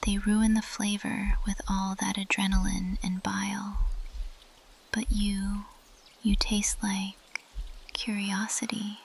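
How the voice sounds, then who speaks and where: Slow whispering plant monster woman, acted out by a woman. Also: mid-range voice, monotone, a little hiss or hum, rough recording. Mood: predatory fascination. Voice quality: breathy whisper. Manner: intimate, teasing, slow and deliberate. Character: possessive, predatory ancient female plant monster, seductively curious. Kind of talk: ASMR roleplay.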